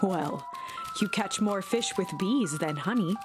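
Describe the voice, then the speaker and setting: A woman reads a calm father from a dreamlike game scene. The delivery is measured and cryptic, with loud music playing underneath. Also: mid-range voice. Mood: calm, wistful. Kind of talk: video game character dialogue read aloud.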